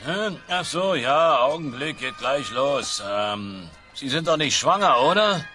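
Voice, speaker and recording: A man's voice: mid-range voice, lively intonation, unhurried, bright, forceful tone, faint music behind. A man playing a fussy, hesitant dinosaur ride operator in a children's audio play. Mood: bumbling, dutiful. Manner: dawdling, hesitant, reciting safety warnings. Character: slow, bumbling, fussy fairground ride operator. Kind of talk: children's audio drama acting.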